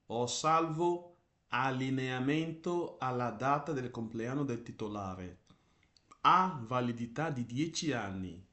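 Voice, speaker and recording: A man teaching driving theory, reading out Italian rules and explaining them slowly in English with lots of repetition. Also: medium pitch, fairly bright tone, roomy sound. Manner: reads Italian text then explains it in English, repeating terms. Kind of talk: slow explanatory lesson.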